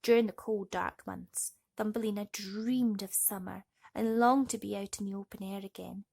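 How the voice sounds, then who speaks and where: Husky woman reading a bedtime story. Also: medium pitch, steady tone, moderate pace, a little mellow. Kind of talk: expressive bedtime story narration for children.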